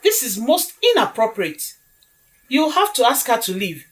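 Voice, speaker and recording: Lady acting polite but bossy groom's mom, audio drama, highish voice, really animated, bit far from mic. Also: moderate pace. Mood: indignant, apologetic. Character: polite, socially commanding mother of the groom.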